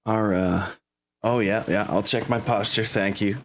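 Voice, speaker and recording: A man's voice: fairly low pitch, steady tone, unhurried, slight background noise. A man chatting casually as game master of a tabletop game, rambling and correcting himself as he goes.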